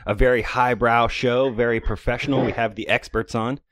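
A man's voice: medium pitch, steady tone, a little fast, fairly bright tone, no echo. A middle-aged man hosting a podcast, interviewing in a chatty, informal, conversational way. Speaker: podcast host.

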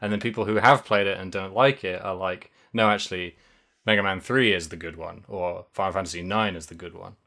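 Podcast co-host, male, lowish voice with a bright edge, rambling and hesitant. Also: fairly even intonation, fairly quick, vocal fry. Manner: rambling, hesitant, lots of fillers and restarts. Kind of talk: casual chat.

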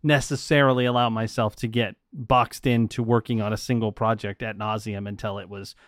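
A man's voice: lowish voice, flat delivery, a little fast, no echo, studio quality. A man who coaches writers, talking earnestly in a casual chat and laughing between points. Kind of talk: casual conversation.

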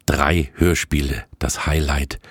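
A man's voice: a bass voice, steady tone, takes it slowly, husky, no room sound, studio quality. A man narrating a podcast report as presenter.

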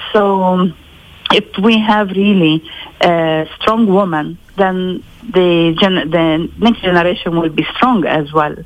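A woman's voice: fairly low pitch, no echo. Woman from Lebanon on a lo-fi phone line. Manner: measured, thoughtful, slow with pauses. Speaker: interviewee. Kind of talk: recorded interview.

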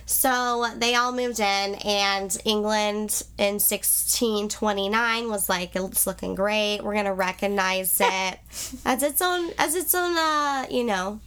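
A woman's voice: medium pitch, a little slow, hardly any pauses, a bit bright, smooth. A woman co-hosting a podcast, nasal and a bit congested from a cold, chatting and telling history in a bubbly, self-deprecating way. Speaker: podcast co-host. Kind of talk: casual chat, telling history.

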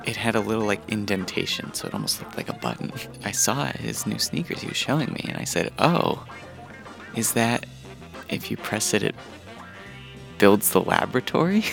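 A man's voice: fairly low pitch, big pitch swings, moderate pace, vocal fry, a bit bright. A man casually telling childhood stories in a conversational, chuckling way, with music underneath. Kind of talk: casual personal storytelling.

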